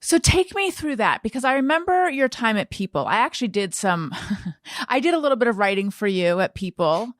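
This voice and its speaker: Chatty woman with lively, swooping intonation. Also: a little high, brisk, no echo, clean studio sound. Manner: chatty, self-deprecating, thinks out loud. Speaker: podcast host and interviewer. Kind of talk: casual conversational interview.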